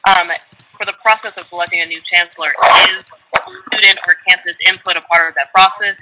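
A man's voice: high voice, fairly even intonation, a little fast, heavy background noise. A man working as a television reporter, asking direct questions on a press call.

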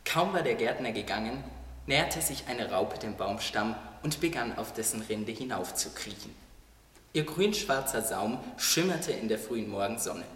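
A man's voice: lowish voice, expressive, normal speed, fairly bright tone. A man reading scripted storybook narration as the narrator of an audio drama. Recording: echoey hall, distant from mic.

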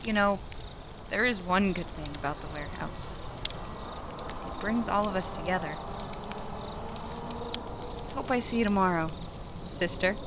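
A woman's voice: medium pitch, unhurried, pauses often, noisy background, close to an everyday voice. An actress playing an earnest young American woman labor organizer in an audio drama, impassioned, idealistic and persuasive. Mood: hopeful, quiet. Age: young adult.

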